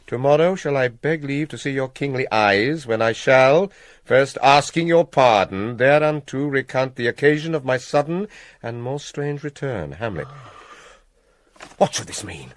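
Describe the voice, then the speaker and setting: Scheming Shakespearean king, played by a male actor: British, persuasive, theatrical, widely swooping pitch, dry close sound. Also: expressive, no room sound, lightly acted. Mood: puzzled. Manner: theatrical, measured with dramatic pauses. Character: scheming king, smooth, persuasive, plotting murder. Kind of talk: classical Shakespearean stage-style acting.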